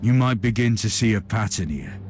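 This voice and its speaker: An actor playing a cool-headed, commanding inquisitor in a video game, dry, measured and haughty. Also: male voice, on the low side, expressive, a little mellow, shouting, a little hiss or hum. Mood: dry defiance. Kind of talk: dramatic video game acting.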